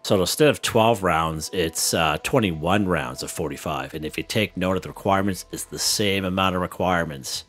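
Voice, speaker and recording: Brief: a man with a low voice, a bit worn as he loses it, explaining a game in a relaxed step-by-step way, faint music behind. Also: normal speed, hardly any pauses. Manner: relaxed, walks viewers through step by step. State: losing his voice. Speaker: gaming channel host. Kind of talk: casual gameplay tutorial commentary.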